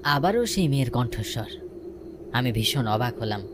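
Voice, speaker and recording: A man's voice: medium pitch, expressive, moderate pace, background noise. A man narrating a scripted first-person ghost story as a dramatic storyteller. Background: music.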